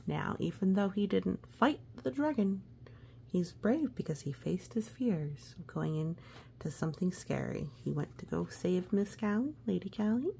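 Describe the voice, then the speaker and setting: A woman reads a picture book to young children, slightly low and mellow in tone. Her delivery is unhurried and expressive, often softening toward a hush, with little asides to the listener. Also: vocal fry, slight background noise. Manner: expressive, hushed suspense, often dropping to a whisper. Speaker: storybook reader. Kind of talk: children's read-aloud with gentle asides.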